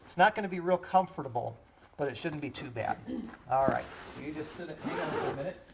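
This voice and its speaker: A man's voice: on the high side, expressive, a little fast, vocal fry, heavy background noise. A man working as an EMT, talking a child patient through each step simply and reassuringly. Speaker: EMT with a rescue squad.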